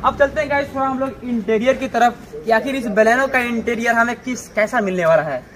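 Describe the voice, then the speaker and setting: A man's voice: very high voice, a little fast, fairly bright tone, poor audio. A young man hosting a walkaround video at an outdoor used car lot, presenting to viewers in a repetitive, filler-heavy way. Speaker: car showroom video host.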